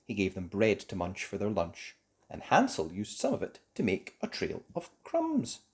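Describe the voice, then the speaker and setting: Lively, theatrical children's storyteller. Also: low voice, normal speed, a little hiss or hum. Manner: theatrical, emphatic for young listeners. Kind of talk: expressive scripted storybook narration.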